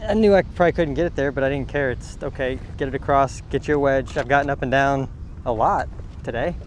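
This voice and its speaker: A man's voice: medium pitch, fast, rough recording. A young man talking himself through a round of golf on an outdoor course, upbeat and wry. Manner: upbeat, self-coaching, wry. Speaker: golf vlogger. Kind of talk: casual on-course commentary. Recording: outdoor noise on camera mic.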